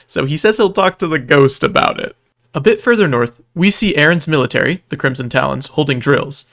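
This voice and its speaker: A man's voice: on the high side, expressive, fairly quick, gravelly, a bit bright. Wry male recap narrator. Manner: wry, casual asides mixed with dramatic storytelling. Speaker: video narrator, storyteller. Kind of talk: scripted narration, video recap of a roleplay event.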